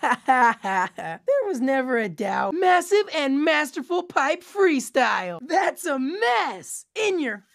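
A woman's voice: high-pitched, very animated, takes it slowly, creaky, few pauses, no room sound, clean studio sound, full character voice. A woman voicing a brash, cocky young skateboarder in a cartoon video game, talking in surfer slang.

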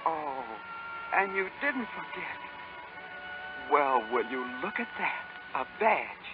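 A man playing a small, kindly cricket in 1930s radio drama, folksy and halting, with a light, plaintive voice. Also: on the high side, sing-song. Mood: grateful wonder. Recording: muffled 1930s radio broadcast, music behind.

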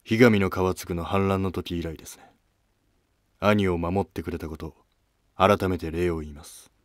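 Guarded former-monk prince acted by a man, deep dry male voice, formal and curt, historical drama. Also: fairly even intonation, normal speed, dry recording, close to an everyday voice. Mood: guarded, stern. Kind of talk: scripted historical drama dialogue.

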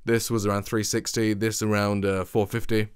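A young man talking casually to camera in a small home studio, rambling and correcting himself as he goes, with a deep voice, close on a studio mic. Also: flat delivery, moderate pace, clean tone, no echo. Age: young adult. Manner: chatty, rambling, self-correcting. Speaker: vlogger comparing microphones. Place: small treated home studio with acoustic foam. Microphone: studio condenser and shotgun mics close up, reflection filter.

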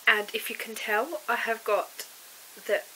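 A woman's voice: on the high side, a little slow, pushed and bright, slight background noise, low-quality recording. A woman, congested with a cold, chatting casually and rambling with many ums about her knitting, on a sofa at home. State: congested with a cold and sore throat. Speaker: knitting vlogger. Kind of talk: casual chat to camera. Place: living room sofa indoors.

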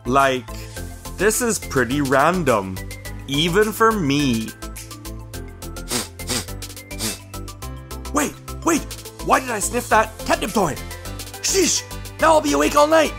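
A man's voice: high-pitched, a little slow, gravelly, soft background music, pitched above the performer's own voice, heavily acted. A man voicing a grumpy, dramatic, easily spooked house cat for a children's storybook read-aloud. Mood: exasperated. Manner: deadpan grumbling with melodramatic outbursts.

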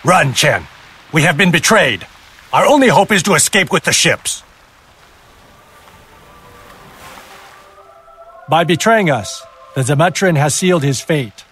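An actor playing an earnest, honorable Chinese fleet captain with a measured, formal delivery in a game cutscene. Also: male voice, on the high side, lively intonation, fairly bright tone, a little hiss or hum. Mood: urgent, resolute. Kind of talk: dramatic game cutscene acting. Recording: game audio with music behind.

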